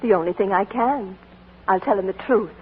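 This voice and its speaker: Casting: actress as an earnest young heroine in a 1940s radio play, pleading tone, thin hissy broadcast sound. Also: female voice, medium pitch, moderate pace, close to an everyday voice. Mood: resolute. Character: young woman defying her stern uncle. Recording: old AM broadcast, narrow and hissy.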